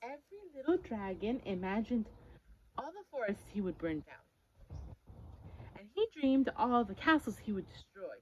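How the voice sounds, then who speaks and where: A woman reading a picture book aloud to children in an expressive, emphatic storytelling style. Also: medium pitch, moderate pace, highs cut off. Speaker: story-time reader for children. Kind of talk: animated picture-book read-aloud with questions to listeners.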